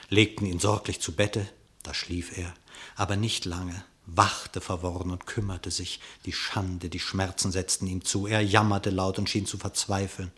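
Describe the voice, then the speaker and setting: A man reads a verse fable with measured, expressive storytelling. His voice sits somewhat low. His scripted narration carries the telling of a classic radio play.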